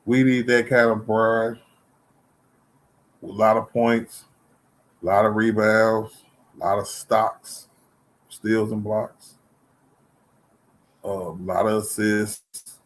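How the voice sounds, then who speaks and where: A man's voice: on the low side, little pitch movement, takes it slowly, pauses often. A man hosting a live sports stream, laid-back and full of slang, casually chatting about basketball. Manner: laid-back slang, calls people dog and bro.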